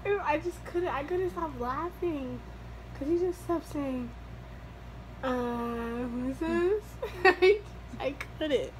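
A woman's voice: high voice, slow pace, slight background noise. A woman hosting a casual vlog, giggling often, recorded by a far camera in a small dim bedroom. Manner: giggly, breaks into laughter. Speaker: vlog host. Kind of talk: casual chat. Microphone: far fixed camera.

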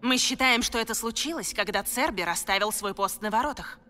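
A woman's voice: on the high side, fairly quick, sharp tone. A woman voicing a stern, regal pony princess in a cartoon dub, grave and formal. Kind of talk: animated cartoon dub acting.

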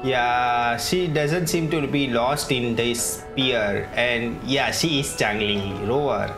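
Slow-paced man commentating over game music. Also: mid-range voice. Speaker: gaming streamer. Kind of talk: casual game playthrough commentary. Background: video game music.

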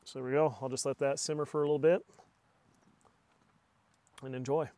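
Brief: middle-aged male outdoor vlogger, clear, even-toned, laid-back narration in a tent. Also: medium pitch, a little fast. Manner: relaxed storytelling, chatty. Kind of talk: casual camping vlog. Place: inside a small hot tent at night. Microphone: camera on a tripod, then close phone at arm's length.

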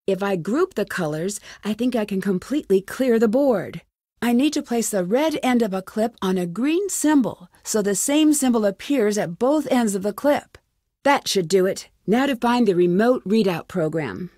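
A woman's voice: medium pitch, expressive, moderate pace, dark tone, no room sound, close to an everyday voice. Actress as inquisitive young female sleuth for an adventure game: earnest, plucky musing to herself, close dry sound. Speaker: lead character in a detective adventure game. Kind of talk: scripted game dialogue, thinking aloud.

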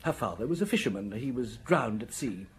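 An actor playing a flustered, lovelorn middle-aged married gentleman in a radio drama, stammering and agitated. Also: mid-range voice, lively intonation, a little fast, slight background noise, lightly acted. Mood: wistful.

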